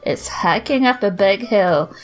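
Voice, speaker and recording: A woman's voice: mid-range voice, lively intonation, measured pace, faint music behind, low-quality recording. A woman in casual chat as a player on a game podcast.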